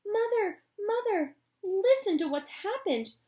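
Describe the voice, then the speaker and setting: A woman's voice: on the high side, normal speed, pitched above the performer's own voice, close to an everyday voice. A woman doing an eager little boy. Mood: excited. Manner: excited and breathless. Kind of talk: storybook reading with voices.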